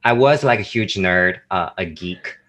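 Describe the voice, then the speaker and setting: A young man from China with a Chinese accent, chatting as a guest on a video call, lively and full of fillers and restarts. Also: deep voice, a little slow. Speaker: guest, YouTuber. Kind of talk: casual chat on a video call.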